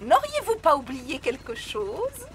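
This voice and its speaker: A woman's voice: sits high, huge pitch swings, measured pace, heavy background noise, exaggerated voice. A woman voicing a kindly, caring lady animal doctor in a children's cartoon.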